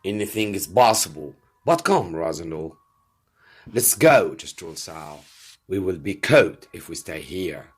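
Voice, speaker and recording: Commanding male soldier, acted by a man reading aloud, storybook, slow, forceful, persuasive, animated. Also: low voice, husky, heavily acted. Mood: firm, rousing. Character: commanding, determined male soldier urging a plan.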